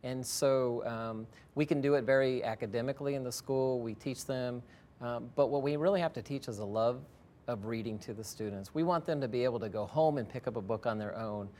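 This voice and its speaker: A man gives explanatory interview answers as a school educator. His voice sits at a middle pitch and he speaks plainly, repeating phrases for emphasis. A faint rumble runs underneath. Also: brisk, a little mellow.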